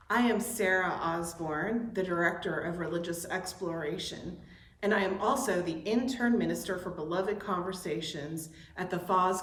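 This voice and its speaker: A woman leading an online worship service, reading a welcome and an opening invocation in a gentle, deliberate liturgical style. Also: fairly low pitch, echoey room. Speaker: director of religious exploration leading worship. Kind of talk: slow, measured liturgical reading. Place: church sanctuary.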